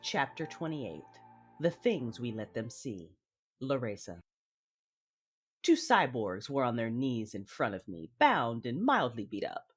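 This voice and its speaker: Woman acting a husky, ruthless Sith mother. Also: very deep voice, big pitch swings, exaggerated voice. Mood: cold, menacing. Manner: measured, menacing, controlled. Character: cold, ruthless Sith mother, commanding and calculating narrator. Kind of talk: first-person audio drama narration with dialogue. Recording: music under the voice.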